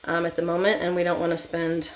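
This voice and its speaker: A woman's voice: fairly low pitch, monotone, quick pace, faint music behind. A woman talking casually and informally, explaining things as she goes in a vlog style. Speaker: vlogger documenting a DIY school bus conversion. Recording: clear ambient background noise.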